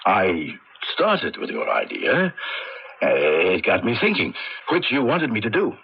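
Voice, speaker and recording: Swooping, creaky, smug bully inventor. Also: male voice, mid-range voice, huge pitch swings, a little slow, exaggerated voice. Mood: defensive. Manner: pompous, condescending. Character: big, smug, bullying male inventor, evasive with mother. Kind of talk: 1980s radio drama acting. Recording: muffled, noisy old radio broadcast recording.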